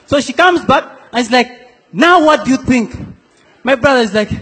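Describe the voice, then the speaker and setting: A young man from South Sudan works a comedy stage, playfully acting out the people in his stories. His voice sits very high for a man, and it rings with the long echo of a big hall. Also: animated, moderate pace, background noise. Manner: playful, acts out quoted characters. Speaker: stand-up comedian. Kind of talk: stand-up comedy set. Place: comedy stage in a large hall before a laughing audience.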